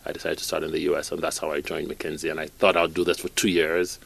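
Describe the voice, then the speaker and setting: A man in his forties from Cameroon, a business executive giving a relaxed studio interview, restarting phrases as he goes. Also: rumbling low, gravelly. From born in Cameroon, raised in Canada. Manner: talks in quick bursts, repeats and restarts phrases. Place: radio studio.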